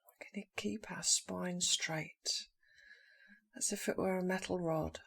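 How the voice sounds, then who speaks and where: A woman leading a guided meditation in a soft, breathy voice, speaking calmly in short, slow phrases with long pauses. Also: fairly low pitch. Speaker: meditation teacher.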